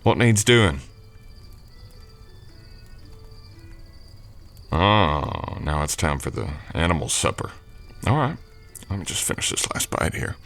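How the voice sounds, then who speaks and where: A man acting an Old West drifter cowboy with an extremely deep voice. He works as a ranch hand and speaks in an unhurried, folksy drawl. His manner is modest and courteous, in the intimate style of an ASMR roleplay addressed to the listener. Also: big pitch swings, fairly bright tone, a little hiss or hum, full character voice. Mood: polite, eager.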